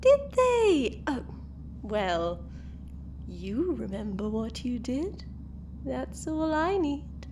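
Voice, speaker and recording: A woman's voice: high voice, sing-song, extremely slow, noisy background, exaggerated voice. A woman voicing a cruel, mocking female time-travelling villain who taunts her captives in a sing-song, theatrical way. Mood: taunting. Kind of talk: fan audio drama acting.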